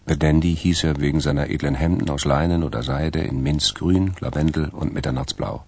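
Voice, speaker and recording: A man narrating a radio crime drama in a measured, dry way, with a gravelly, husky voice. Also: a bass voice, fairly even intonation, a little mellow, slight background noise. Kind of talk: scripted crime story narration.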